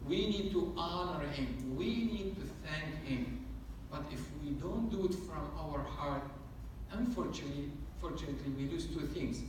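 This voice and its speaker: A man's voice: on the high side, expressive, moderate pace, distant mic. Man, priest, earnest sermon, very echoey church, faint hum behind. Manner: earnest, repetitive preaching cadence.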